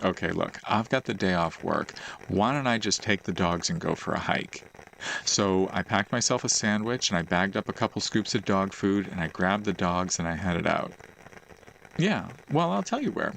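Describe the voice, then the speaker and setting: Man playing a gentle low creaky-voiced boyfriend. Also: a little hiss or hum, low-quality recording. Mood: relaxed, chatty. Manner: gentle, conversational, pausing for unheard replies. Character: affectionate easygoing boyfriend settling in by fireside. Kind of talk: roleplay audio monologue, intimate casual chat.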